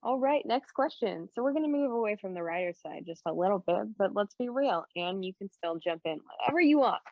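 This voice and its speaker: A woman's voice: medium pitch, animated, a little fast, no room sound. A woman hosting a casual podcast panel, bantering with the others as she moderates, on a dull recording. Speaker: host, moderator. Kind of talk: casual panel chat, post-show commentary. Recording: dull, lo-fi, dry sound.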